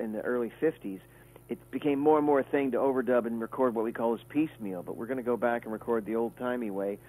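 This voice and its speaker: A man's voice: mid-range voice, a little mellow, low-quality recording. A man, a guest musician talking casually in a radio interview, rambling with fillers and restarts. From Kentucky, USA.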